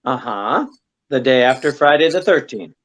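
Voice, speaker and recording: A man's voice: medium pitch, lively intonation, moderate pace, fairly bright tone. A man playing a suave, wry, affectionate detective husband in 1940s radio acting style. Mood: wry, indulgent. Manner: wry, debonair.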